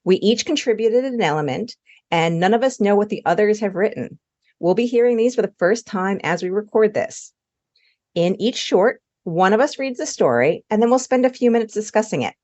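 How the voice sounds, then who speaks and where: A woman's voice: mid-range voice, expressive, normal speed, darkish tone, lo-fi sound. A woman co-hosting a podcast, delivering a scripted introduction. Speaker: podcast co-host.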